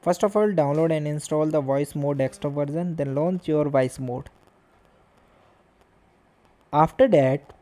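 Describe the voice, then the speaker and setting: A tutorial host with a soft, dark tone at a middling pitch. The narration moves step by step through software instructions. The phrasing is halting and uneven.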